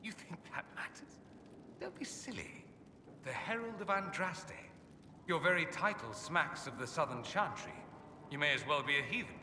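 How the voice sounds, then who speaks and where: Haughty witty fantasy mage for a game, arch and sardonic, really swoopy delivery, big echoey room w/ noise behind. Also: male voice, fairly high pitch, animated, normal speed, distant mic. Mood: wry disdain. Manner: suave, sardonic, theatrically arch. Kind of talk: video game character dialogue. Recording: echoey hall, clear background ambience noise.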